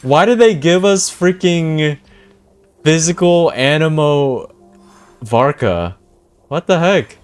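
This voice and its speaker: Lively man casually reacting to a game. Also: fairly high pitch, takes it slowly, long pauses, smooth. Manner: slangy exclamations, muttering to chat. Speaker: game streamer. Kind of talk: casual live gameplay reaction.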